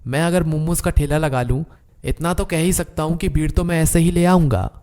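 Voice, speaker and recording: Cartoon story role: greedy young hustler son, played by a man, fairly high voice, smooth-talking and boastful. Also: moderate pace, a little mellow, close to an everyday voice. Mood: eager, boastful. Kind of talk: Hindi animated moral story dialogue.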